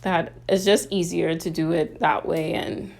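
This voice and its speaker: A woman's voice: fairly low pitch, steady tone, measured pace, vocal fry, darkish tone. A woman from the Bahamas with a Bahamian accent hosts a casual, chatty how-to craft tutorial, talking through each step.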